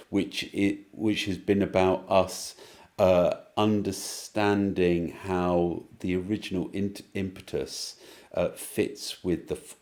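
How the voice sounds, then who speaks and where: A man's voice: low voice, dark tone. A man giving a reflective interview answer, thoughtful and hesitant as he circles through rephrasings.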